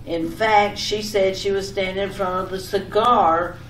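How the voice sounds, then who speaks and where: An echoey, distant recording with faint noise carries an actress as an insistent wife from an old radio comedy. She rambles breezily through her explanations at a middle pitch, coaxing with mild exasperation. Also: fairly even intonation, measured pace, lightly acted. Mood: insistent, over-explaining. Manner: chattering, coaxing, over-explaining. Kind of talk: old-time radio domestic comedy acting.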